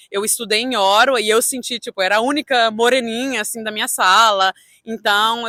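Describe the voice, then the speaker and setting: A woman's voice: fairly high pitch, pushed and bright, no echo, studio quality. A young woman from Canada with a Brazilian Portuguese accent, chatting casually and telling anecdotes as an interview guest. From Toronto, Canada. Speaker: interview guest, real estate agent.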